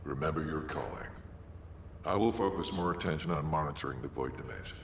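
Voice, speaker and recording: An actor playing a grave, formal mission overseer in a game cutscene, speaking in a measured, solemn way. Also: male voice, very deep voice, roomy sound, a little hiss or hum. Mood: solemn, approving. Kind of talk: scripted game cutscene acting.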